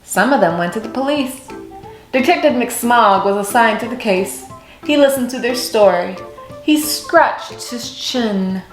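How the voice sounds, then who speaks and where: A woman reading a children's picture book aloud with expressive storytelling. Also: fairly high pitch, measured pace, roomy sound, faint music behind, low-quality recording.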